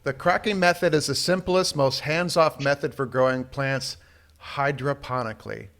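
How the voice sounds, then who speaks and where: A man's voice: mid-range voice, fairly even intonation, moderate pace, a bit bright. A man hosting a podcast in casual chat, curious, asking questions and reading bits from the web. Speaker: podcast host.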